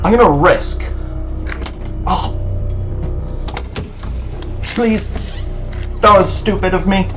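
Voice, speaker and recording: Male let's-play host, fairly high voice, slow, nervous muttering with excited bursts, faint music behind. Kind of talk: live gameplay commentary.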